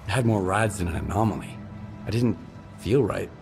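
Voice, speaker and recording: Low-voiced terse mercenary. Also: male voice, animated, moderate pace, over music. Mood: cool, businesslike. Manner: terse, matter-of-fact. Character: terse tough male mercenary wanderer in a wasteland. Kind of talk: video game cutscene acting.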